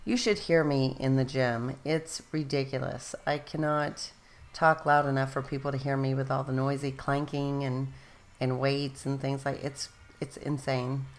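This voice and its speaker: A woman's voice: extremely low pitch, steady tone, unhurried. A woman host narrating her scrapbooking process in a casual, rambling way, losing her voice and straining it, often correcting herself. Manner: rambling, self-correcting, chatty.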